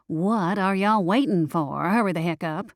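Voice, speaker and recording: A woman's voice: fairly low pitch, moderate pace, no room sound, clean studio sound, close to an everyday voice. Woman voicing a sassy, bossy talking cat. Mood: urgent. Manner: folksy, blunt, bossy.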